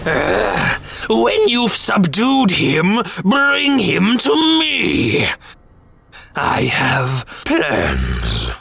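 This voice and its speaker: A man voicing a cruel, gloating robot warlord in an animated fan dub, savoring each theatrical, menacing phrase. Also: high voice, extremely slow, vocal fry, breathy edge, exaggerated voice. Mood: gloating menace.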